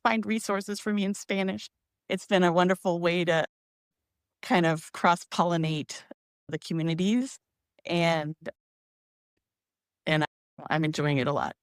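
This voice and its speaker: Woman guest, easygoing and chatty, lowish smooth voice, phone mic. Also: no room sound, studio quality. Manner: laughs often, easygoing. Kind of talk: casual chat. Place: San Francisco. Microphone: phone used as camera.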